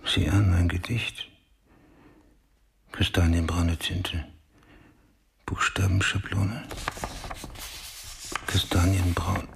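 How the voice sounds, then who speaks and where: An actor playing a brooding, haunted male police detective in a German radio crime drama, muttering in terse fragments with a husky, gruff voice. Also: sits low, flat delivery, slow pace, pauses often, noisy background, full character voice. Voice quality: husky, gravelly.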